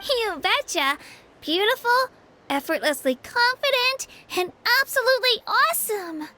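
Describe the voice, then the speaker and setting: Earnest, very high-voiced young girl. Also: lively intonation, measured pace, pushed and bright, slight background noise, full character voice. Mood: admiring. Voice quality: childlike. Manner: earnest, polite, eager. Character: earnest, plucky young girl warrior-in-training. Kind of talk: English video game voice acting. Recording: game music behind the voice. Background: game music.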